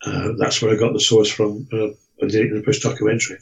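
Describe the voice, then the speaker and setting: A man's voice: lowish voice, level tone, quick pace, creaky. A man chatting casually in a hesitant, rambling way, telling anecdotes as a regular on a talk show. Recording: dull lo-fi voice connection.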